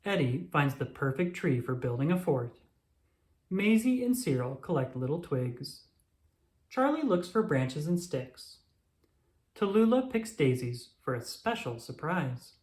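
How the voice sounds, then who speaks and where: Low-pitched, very animated woman reading a storybook. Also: a little slow. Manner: expressive, animated storytelling with emphatic exclamations. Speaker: children's storybook reader. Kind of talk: scripted read-aloud narration for young children. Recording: roomy, echoing sound.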